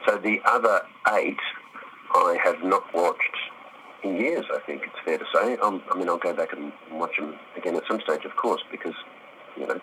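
A man's voice: low-pitched, normal speed, bright, forceful tone, a little hiss or hum, rough recording. A middle-aged man answering interview questions casually, thoughtful and hesitant, with some self-deprecation. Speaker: actor, interview guest.

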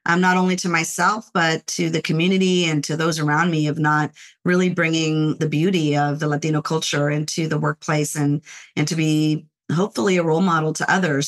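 A woman's voice: low voice, a bit bright. Thoughtful middle-aged woman from Los Angeles. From Los Angeles, United States. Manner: measured, thoughtful, often says "you know". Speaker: guest, corporate lawyer and author. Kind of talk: reflective interview talk.

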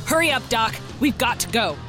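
A woman's voice: a little high, sing-song, moderate pace, over loud music. A woman playing a crude, hard-bitten mercenary with a drawling delivery in an audio drama. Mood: tense urgency. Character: crude, sneering mercenary with a gun.

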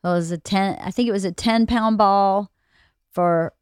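A woman's voice: medium pitch, unhurried, smooth, no echo, studio quality. A woman in her late forties speaking in casual, intimate conversation as a podcast co-host, candid, reassuring and reflective. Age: late 40s.

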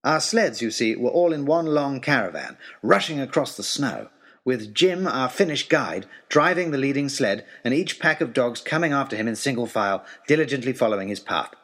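An earnest young gentleman adventurer, acted by a man, narrates a comic period audio drama in wordy, mannered phrases. His pitch is mid-range and swings in a lively way, with a husky edge to the voice and an anxious, wryly understated temper. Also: normal speed. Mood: wry storytelling. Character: Timothy Hope, anxious, florid young gentleman adventurer writing to his lady. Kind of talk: first-person comic adventure storytelling, letter-like period narration.

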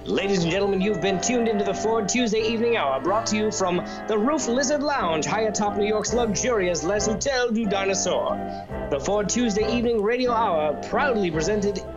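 Old-time radio show announcer, played by a man: formal, presentational, dull lo-fi sound under very loud music. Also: high voice, lively intonation, moderate pace. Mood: polished, grand. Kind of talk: 1930s radio announcing spoof.

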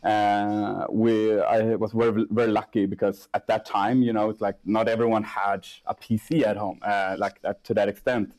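A young man, a startup CEO, answering interview questions in a casual, halting way with many restarts and fillers. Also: on the low side, little pitch movement, darkish tone. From Sweden.

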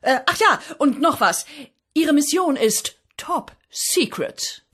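A woman playing the brisk, commanding boss of a heavenly agency in a comic radio play. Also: sits high, animated, background noise. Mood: brisk, impatient. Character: bossy female boss of a heavenly design agency.

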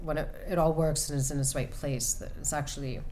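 A woman author answering audience questions about her novel, speaking thoughtfully with many pauses and restarts. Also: low-pitched, steady tone, darkish tone, slight background noise. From United States. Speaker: author at a reading. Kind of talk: book talk and audience Q&A. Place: event room with an audience.

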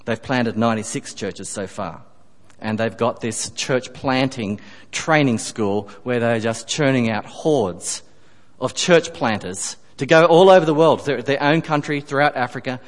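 A man preaching a sermon, emphatic, rising on key phrases and dropping to quiet asides. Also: mid-range voice, a little slow. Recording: long reverb of a church hall.

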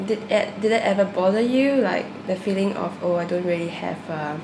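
A woman interviewer asks casual questions and prompts gently with short murmurs of agreement. Her voice sits in an echoey room with clear background noise. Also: on the low side, fairly even intonation, brisk.

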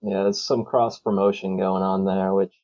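Sardonic low-voiced man, dull lo-fi mic. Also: flat delivery, normal speed, warm tone, no echo. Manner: sardonic, profane, wry. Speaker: podcast co-host. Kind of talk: casual chat.

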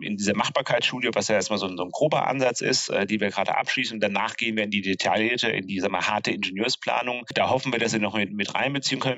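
Low-voiced man explaining city planning. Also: pushed and bright, dry recording, dull audio. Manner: hesitant, many fillers and restarts. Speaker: cycling infrastructure planner. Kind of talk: conversational expert explanation.

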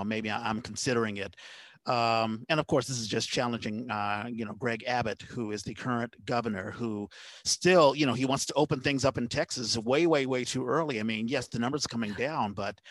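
A man's voice: medium pitch, a bit bright, dry recording. Casual male podcast host, dry close sound. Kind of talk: casual interview chat.

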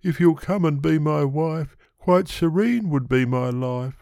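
Tiny lovesick storybook creature, way up high, earnest n pleading in rhyme. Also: male voice, a little slow, creaky, warm tone, dry recording, clean studio sound. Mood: weary longing. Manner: pleading, declaiming in verse. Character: tiny big-headed lovelorn fantasy creature, earnest and wistful.

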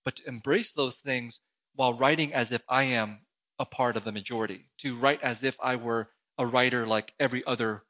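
Bright-voiced animated male author. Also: medium pitch, brisk. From United States. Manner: animated, emphatic explaining. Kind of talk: interview conversation.

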